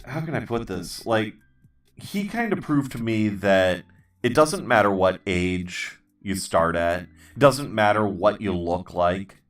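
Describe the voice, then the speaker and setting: A man hosting his own channel, talking in a halting, casual way with lots of ums and restarts and a dry, self-deprecating humor. People tell him his voice suits voiceover work. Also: on the low side, lively intonation, far from the mic, soft background music. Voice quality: voice others call good for voiceover. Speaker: channel host. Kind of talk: casual rambling chat while building.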